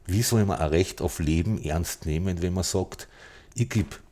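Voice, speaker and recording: A man's voice: low-pitched, moderate pace, dark tone. A man with an Austrian accent in a casual political discussion, speaking in a blunt, indignant, colloquial way. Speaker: podcast panelist.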